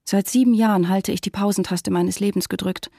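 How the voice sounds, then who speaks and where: An actress playing a brooding thirty-seven-year-old wife and mother in a radio drama, narrating her thoughts in a hushed, deliberate way. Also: medium pitch, steady tone, creaky. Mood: somber. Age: 30s. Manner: hushed, deliberate, short sentences, sometimes near whisper. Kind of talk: literary radio drama monologue, first person.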